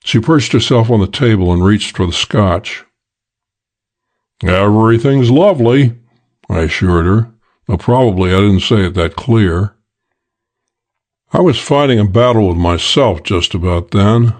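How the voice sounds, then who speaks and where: A man's voice: on the low side, moderate pace. A man narrating a first-person detective audiobook in a dry, wry, hard-boiled way.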